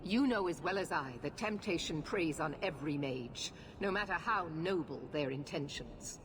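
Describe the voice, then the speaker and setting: An actress playing a stern, unyielding knight commander, with a commanding, severe and formal delivery in a fantasy game. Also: female voice, low voice, lively intonation, moderate pace, background noise. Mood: firm, defiant. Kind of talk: dramatic fantasy video game acting.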